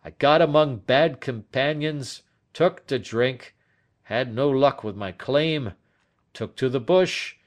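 A man voicing a weary, bitter, dying old man in an audiobook, speaking with a heavy, emphatic, drawn-out manner. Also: mid-range voice, voice pushed up, close to an everyday voice. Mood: confessional. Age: older. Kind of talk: audiobook character acting.

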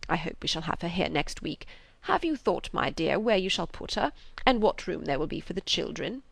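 A woman voicing a gentle, fretful, doting old father in an audiobook, plaintive and hesitant, rambling along. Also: lowish voice, very animated, brisk, gravelly, dry recording, full character voice. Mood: wistful, rambling. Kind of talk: audiobook character reading of a classic novel.

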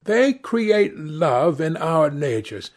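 A man voicing an earnest, idealistic young gentleman in an audiobook, speaking fervently. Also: fairly high pitch, big pitch swings, slow pace, voice pushed up, heavily acted. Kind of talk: audiobook character dialogue.

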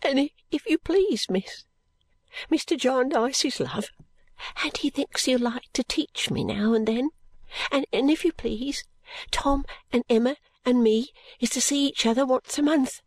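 A woman voicing a timid, tearful, grateful little girl maid in an audiobook, with soft, breathy, halting and deferential unschooled speech. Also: sits high, no room sound, voice pushed up. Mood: earnest. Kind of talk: audiobook character voice.

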